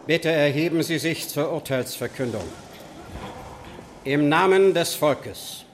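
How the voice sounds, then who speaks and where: An actor playing a stern judge delivering a verdict in formal, measured tones in a radio play. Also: male voice, a little high, very noisy.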